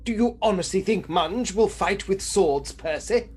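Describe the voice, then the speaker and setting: A woman playing a mild, sceptical sidekick in a comedic radio play, droll, questioning and deadpan. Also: medium pitch, measured pace, breathy edge.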